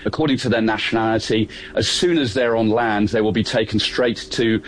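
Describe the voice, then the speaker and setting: A male correspondent reporting at a mid pitch, brisk and to the point. A faint hum sits under his voice. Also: steady tone, rough recording. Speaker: field correspondent. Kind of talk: news report.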